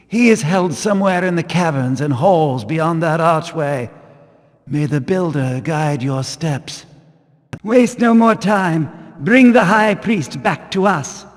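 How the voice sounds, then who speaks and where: An actor playing a stern, devout zealot of a religious order in a video game, speaking archaic English in a pious, formal, declamatory way. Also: male voice, a little high. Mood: grave urgency. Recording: long hall reverb.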